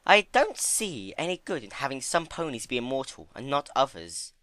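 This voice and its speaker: A man's voice: mid-range voice, very animated, moderate pace, bright, forceful tone, dry recording, voice pushed up. A man voicing a refined, ladylike female pony with a genteel, thoughtful and dramatic way of speaking in a story reading. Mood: musing. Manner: genteel, musing. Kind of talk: dramatic fan-fiction reading.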